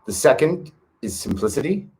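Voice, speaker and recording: A man reading an old wisdom text aloud in a measured, earnest way, with brief casual asides to his listeners. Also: medium pitch, big pitch swings, a little mellow, rough recording. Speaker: reader of a classic text.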